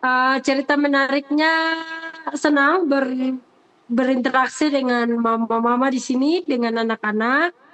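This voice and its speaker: Woman, fairly high voice, teacher in interview, earnest, halting, repeats herself. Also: fairly even intonation, fairly bright tone, muffled recording. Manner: earnest, hesitant, repeats phrases. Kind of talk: unscripted interview answers.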